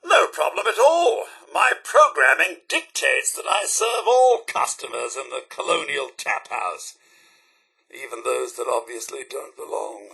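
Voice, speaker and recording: A man's voice: on the high side, lively intonation, a little slow, vocal fry, sharp tone, slightly rough, heavily acted. A man with a British accent voicing a prim, fussy, loyal robot butler in a video game, theatrical and formally polite. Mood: haughty, sardonic. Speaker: voice actor. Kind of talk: video game companion voice lines.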